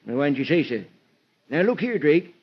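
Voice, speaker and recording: Crotchety elderly backwoods lawman, high voice, feisty and folksy, old radio drama, muffled recording. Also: big pitch swings, brisk. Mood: gruff, sly. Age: older. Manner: folksy, combative, rustic slangy speech. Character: cantankerous, crafty old small-town country constable and doctor. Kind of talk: old-time radio mystery acting. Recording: dull vintage radio recording.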